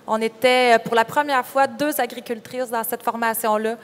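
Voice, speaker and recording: A woman's voice: a little high, steady tone, normal speed. A young woman with a Quebec French accent giving a candid, informal personal account as a conference panelist. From Quebec, Canada. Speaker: panelist, farm entrepreneur. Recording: reverberant hall sound.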